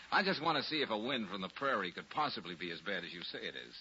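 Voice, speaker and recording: An actor playing a wisecracking, easygoing tough private investigator in a 1940s radio drama, with breezy, slangy, sardonic delivery. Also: male voice, fairly low pitch, very animated, fast, sharp tone, slightly rough, full character voice. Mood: wry, breezy. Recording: noisy lo-fi 1940s radio recording.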